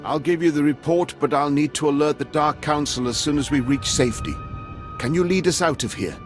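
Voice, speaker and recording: A man's voice: fairly high pitch, brisk, soft background music, lightly acted. An actor playing an old, wry male military governor in a video game, speaking formally and graciously. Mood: guarded. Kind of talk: video game cutscene acting.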